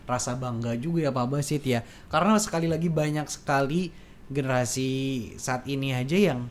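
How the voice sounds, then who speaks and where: A man's voice: mid-range voice, normal speed, slight background noise. A man with a Madurese accent hosting a casual radio interview in a chatty, affirming way. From Madura, Indonesia. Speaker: radio talk show host.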